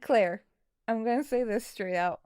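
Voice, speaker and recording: Slow, higher-voiced woman, casual streamer. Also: darkish tone, clean tone, no room sound, studio quality. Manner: mild, folksy exclamations instead of swearing. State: a little tired. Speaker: live stream host and amateur voice actor. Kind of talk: casual live stream chat.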